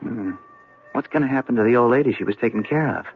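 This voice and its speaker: Husky, jittery young drifter. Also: male voice, medium pitch, fast talker. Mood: nosy, sly. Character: jittery, nosy young male drifter, streetwise and slangy. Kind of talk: radio drama acting. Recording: muffled radio drama recording.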